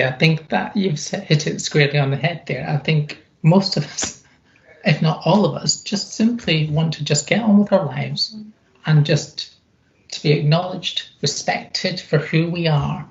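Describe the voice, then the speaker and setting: Gravelly, deep-voiced middle-aged woman. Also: a little mellow, highs cut off. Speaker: interview guest, activist. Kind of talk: reflective conversational interview.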